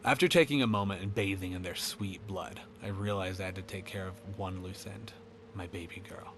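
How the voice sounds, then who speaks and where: A man's voice: low-pitched, fairly even intonation, moderate pace, noisy background. A man voicing an unrepentant killer hiding in an attic, sinister and darkly glib, in a first-person horror monologue. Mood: cold menace.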